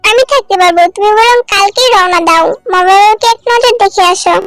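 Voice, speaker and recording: A woman's voice: very high voice, brisk, a bit bright, soft background music, voice pushed up. A woman voicing a caring, firm female bird wife in a children's cartoon, coaxing and insistent. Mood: gently coaxing.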